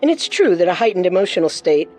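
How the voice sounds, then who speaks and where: A woman's voice: fairly low pitch, big pitch swings, fast, warm tone, faint music behind. A woman hosting a true-crime show with scripted narration. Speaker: host and narrator.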